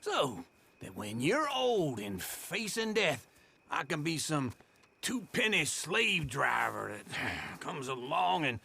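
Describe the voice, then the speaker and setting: Cantankerous old American frontier coot, gravelly, piercing and very animated, folksy scolding, western video game. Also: male voice, a little high, slow pace, exaggerated voice. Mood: sardonic. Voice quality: gravelly, sharp. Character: cantankerous, lazy, mouthy old frontier codger. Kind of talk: video game drama acting.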